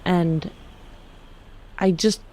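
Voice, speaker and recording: Low, unhurried woman playing tabletop games. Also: steady tone, darkish tone. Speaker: player in a tabletop game. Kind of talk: casual improvised roleplay.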